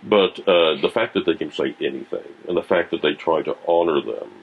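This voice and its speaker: A man's voice: very deep voice, lively intonation, a little fast, gravelly, breathy edge. A man from Tennessee, a podcast guest talking reflectively in casual conversation and searching for his words. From Tennessee, USA. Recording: tinny phone-like sound.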